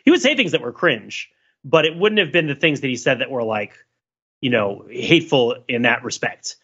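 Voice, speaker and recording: Bright, forceful man, slightly lo-fi. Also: medium pitch, animated, normal speed, husky. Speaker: podcast co-host. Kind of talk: casual discussion.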